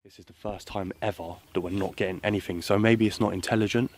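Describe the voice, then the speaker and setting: A man's voice: fairly low pitch, fairly even intonation, fast, darkish tone. A man hosting a paranormal investigation vlog, talking casually as he explores. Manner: addresses viewers and calls out questions to spirits. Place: old stone tower and muddy surroundings. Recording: noisy handheld camera audio with rustling.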